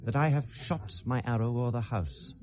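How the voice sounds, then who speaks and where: An actor with a British accent playing a brooding, sardonic young prince in a classical radio drama, theatrical and deliberate in delivery. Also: male voice, medium pitch, crowd noise, close to an everyday voice. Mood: solemn, earnest. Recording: 1970s radio drama recording.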